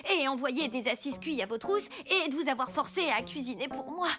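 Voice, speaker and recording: Cartoon baking villainess: grumbly, dramatic, secretly remorseful, high register, music behind. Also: female voice, animated, a little fast. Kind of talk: children's cartoon dubbing.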